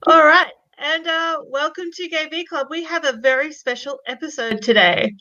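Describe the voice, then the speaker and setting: A woman's voice: high voice, moderate pace, bright, forceful tone. A woman co-hosting a podcast in casual chat, with a playful, mock-serious manner and a slightly dull, lo-fi sound. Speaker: podcast co-host.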